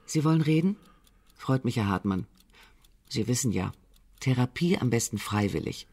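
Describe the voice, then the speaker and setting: An actor playing a composed, probing psychotherapist in a radio drama, measured, matter-of-fact and drily blunt. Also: male voice, medium pitch, expressive, darkish tone. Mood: dry welcome. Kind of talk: naturalistic German radio drama acting.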